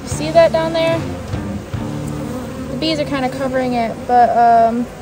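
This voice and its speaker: A woman's voice: sits high, measured pace, music in the background. A middle-aged woman chatting casually and thinking aloud as she works outdoors in a bee yard, informal and exclamatory. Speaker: beekeeping vlogger. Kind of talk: casual chat while working.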